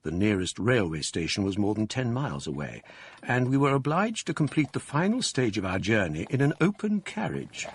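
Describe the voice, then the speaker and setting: An actor narrating as a scholar recalling his youth, measured, wry and reflective. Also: fairly low pitch, big pitch swings, husky, exaggerated voice. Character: older male scholar narrating his past. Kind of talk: radio drama narration.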